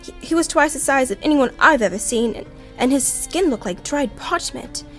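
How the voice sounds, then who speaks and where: A woman's voice: sits high, animated, normal speed, fairly bright tone, faint music behind. A woman playing a frightened, pleading sweetheart, breathless and melodramatic, in an old-time radio drama. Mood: shaken. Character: frightened, pleading young sweetheart in a horror tale.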